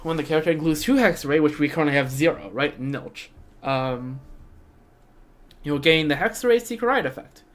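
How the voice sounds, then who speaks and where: A man's voice: on the high side, expressive, moderate pace. A young man giving casual live stream commentary on a game, rambling and thinking aloud. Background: game music.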